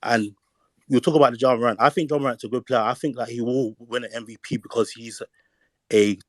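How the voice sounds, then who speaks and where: A man with a London accent, explaining his view at a measured, steady pace in a casual discussion. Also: medium pitch, dry recording. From England. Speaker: call-in participant.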